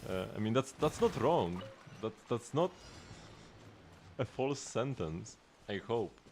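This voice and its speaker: A man's voice: mid-range voice, big pitch swings, takes it slowly, a little mellow, a little hiss or hum. Chatty man in his late twenties. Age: late 20s. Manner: rambling and chatty, with lots of restarts and laughs. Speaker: streamer. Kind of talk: casual gaming livestream chat.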